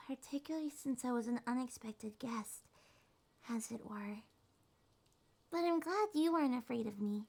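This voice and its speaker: A woman voicing a shy, sweet young succubus monster girl in a soft, breathy, hesitant ASMR roleplay. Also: fairly high pitch. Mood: flustered, bashful. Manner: hesitant, gentle, slightly stammering.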